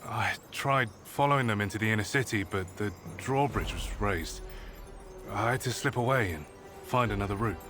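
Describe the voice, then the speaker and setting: An actor playing an earnest male informant in a game cutscene, speaking in a grave, measured, formal way. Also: on the low side, natural voice. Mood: grave, reporting. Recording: music behind.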